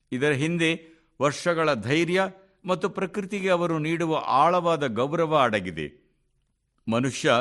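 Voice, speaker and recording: A man reads a formal scripted address for radio, in measured, deliberate phrases with clear pauses. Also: fairly high pitch, fairly bright tone. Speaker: radio reader of a translated speech.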